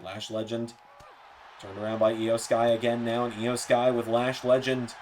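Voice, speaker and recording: A man doing play-by-play commentary for a wrestling video game, his voice a bit hoarse and softer than usual, with slips and self-corrections. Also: mid-range voice, a little hiss or hum. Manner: rapid play-by-play, rising excitement on near-falls.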